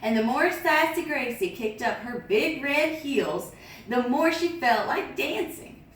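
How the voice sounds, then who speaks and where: A woman reading a picture book aloud as a storyteller, lively, with sing-song sound effects. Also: sits high, a little slow, slight background noise. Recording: echoey room, distant mic.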